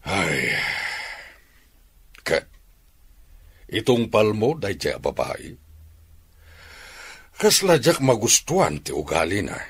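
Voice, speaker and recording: A man's voice: low-pitched, sing-song, takes it slowly, pauses often, slightly rough, full character voice. A man acting a stern but fatherly middle-aged rancher with an Ilocano Filipino accent in a radio drama. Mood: questioning, stern.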